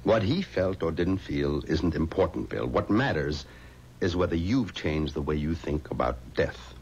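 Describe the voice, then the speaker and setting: A middle-aged man, an assured, fatherly and somewhat pompous boss in a 1970s radio mystery. His delivery is very animated, heard on a dull old recording with faint noise. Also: rumbling low, measured pace, full character voice. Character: confident, paternal funeral home boss, brisk and dismissive. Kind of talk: 1970s radio drama acting.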